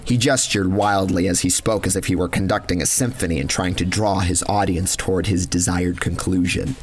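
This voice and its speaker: A man with a low-pitched voice narrates first-person horror fiction. His delivery is measured and dramatic, with a cynical edge to the storytelling. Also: steady tone.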